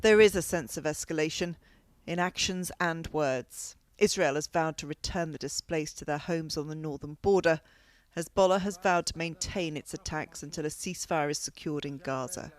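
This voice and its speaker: A woman's voice: sits low, moderate pace. Woman reporting television news. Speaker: news correspondent.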